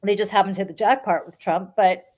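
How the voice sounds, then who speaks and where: A middle-aged woman hosting a podcast in casual political chat, blunt and animated, often repeating words for emphasis. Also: medium pitch, fast talker. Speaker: podcast host.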